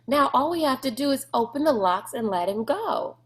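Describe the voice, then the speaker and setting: A woman's voice: sits high, expressive, normal speed, voice pushed up. A woman voicing a headstrong, bossy young girl in a storybook, insistent and emphatic. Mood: defiant.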